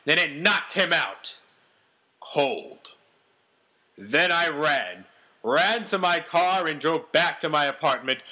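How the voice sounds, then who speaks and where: A man's voice: a little high, sharp tone, full character voice. A man voicing a brash, self-important ex-convict quarterback telling his own story with melodramatic, boastful delivery. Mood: grimly dramatic.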